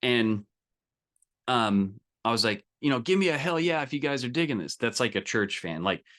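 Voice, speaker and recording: A man with a mid-pitched voice leads an informal coaching session. He speaks directly and emphatically in colloquial, salesy language. He often stops to check that his listeners are following. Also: big pitch swings, normal speed, a bit bright. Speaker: business coach leading a group training call. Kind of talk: informal live coaching talk.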